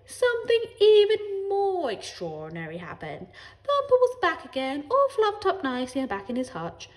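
A woman's voice: very high voice, wildly swooping pitch, normal speed, voice pushed up, full character voice. A woman voicing a chatty, dramatic female next-door neighbour in a children's storybook, telling things emphatically and drawing out her words. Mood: amazed. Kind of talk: children's storybook character reading.

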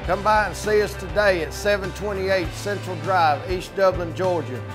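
Guy doing his own store ad, high voice, music under it. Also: steady tone, normal speed. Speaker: business owner advertising his market. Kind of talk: local commercial pitch.

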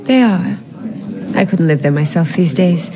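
An actress playing a gracious, worldly society hostess in a radio drama, polite and composed. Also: female voice, fairly low pitch, lively intonation, unhurried, over music.

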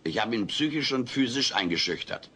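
A man's voice: mid-range voice, lively intonation, fairly quick, bright, forceful tone, breathy edge. A man playing a brash, mischievous, wisecracking alien creature in a sitcom audio play, cheeky and theatrical. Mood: insistent. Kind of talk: German-dubbed sitcom audio play acting. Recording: noisy old cassette audio play.

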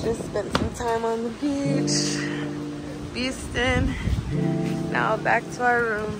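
Twenties woman, handheld phone vlog, unhurried profane banter, loud music bed. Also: on the high side, fairly even intonation. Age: 20s. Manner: loose, profane, giggly banter. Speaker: vlogger. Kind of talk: casual travel vlog chat. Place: outdoors at a resort at night. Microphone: phone held at arm's length.

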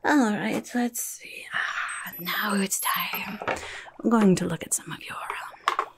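A woman's voice: medium pitch, slow, creaky. A young woman voicing a rude, chaotic female goblin nurse in an ASMR roleplay, muttering fussily and repeating her words, close to the microphone. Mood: fussy, instructing. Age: young adult. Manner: fussy, muttering, repeats words, blunt asides. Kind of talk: whispered and soft-spoken ASMR roleplay.

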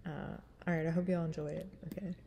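A woman's voice: flat delivery, fast talker, dark tone, a little hiss or hum. A woman in her thirties with a smoky voice and a stuffy nose, chatting frankly and rambling with laughs into a webcam in a small room. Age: 30s. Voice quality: smoky, husky low voice. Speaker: singer vlogger. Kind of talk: casual chat before a cover song.

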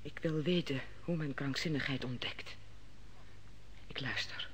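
A woman's voice: extremely low pitch, a little slow, a bit bright. An actress playing a middle-aged queen in an old radio drama, anxious, questioning and commanding. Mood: urgent. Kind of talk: 1950s-60s radio drama acting. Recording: old radio play with long reverb and faint hiss.

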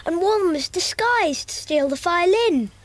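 A woman's voice: extremely high pitch, animated, measured pace. A woman playing a keen, easily indignant child in a children's audio drama.

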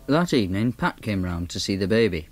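An actor playing an awkward young man, narrating flatly in the first person and grunting replies. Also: lowish voice, fairly quick, no echo.

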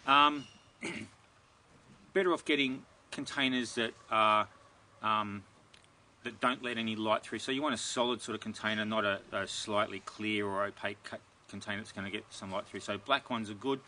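Bright-voiced man rambling in a backyard. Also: mid-range voice, a little hiss or hum. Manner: relaxed and rambling, many ums and restarts. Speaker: gardening video host. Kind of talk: casual Q&A, reading viewer questions. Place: outdoor backyard garden.

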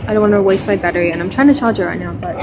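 A young woman chats into a phone held at arm's length, rambling through her packing and then her wait at the airport with lots of ums and restarts. Her voice sits at an ordinary middle pitch, and there is a faint hiss of background noise under it. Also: rapid-fire. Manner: chatty, rambling, many fillers and self-corrections. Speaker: vlogger. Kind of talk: casual vlog chat. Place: airport terminal, indoors.

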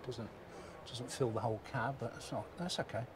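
Bloke chatting through a camper van tour, noisy show hall behind. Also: male voice, medium pitch, steady tone, moderate pace. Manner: chatty, thinking aloud, mild remarks. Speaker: motorhome vlogger. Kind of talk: casual walkaround commentary. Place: inside a motorhome at an indoor trade show. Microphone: handheld camera mic.

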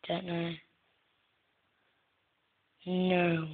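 A soft-spoken young woman hosting a casual gaming video, answering a guessing game in short words. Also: lowish voice, completely flat delivery, drawn-out words, gravelly. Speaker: gaming channel host. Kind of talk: casual gameplay commentary. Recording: tinny lo-fi phone-like recording.

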